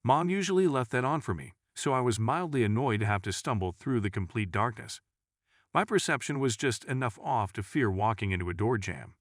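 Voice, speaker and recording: A man's voice: medium pitch, animated, a little fast, no room sound, clean studio sound. A man reading a scripted first-person scary story as a narrator.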